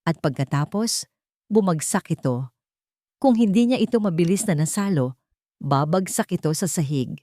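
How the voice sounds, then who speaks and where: A woman's voice: lowish voice, lively intonation, moderate pace, pauses often, mellow, no echo. A woman reading a scripted story as narrator, with dramatic, emphatic delivery.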